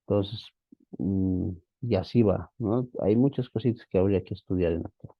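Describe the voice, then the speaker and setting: A man teaching an online class, walking through study software on screen while thinking aloud, often tagging his sentences with a questioning "right?". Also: deep voice, normal speed, no room sound, lo-fi sound. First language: Spanish. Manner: casual, thinking aloud, frequent "¿no?" tags. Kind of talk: screen-share software walkthrough.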